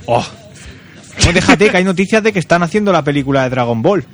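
A man's voice: a little high, animated, fast talker, soft background music. A man with an accent from Spain co-hosting a radio show in a casual, teasing, colloquial style with some swearing. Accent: Spanish from Spain. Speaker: radio co-host. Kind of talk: casual, bantering radio talk. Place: radio studio, at a microphone.

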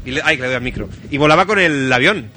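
A man with an accent from Spain hosting a casual radio show, chatting in an excitable, rambling and sweary way. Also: mid-range voice, fast, over loud music. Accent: Spanish from Spain. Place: radio studio, at a microphone.

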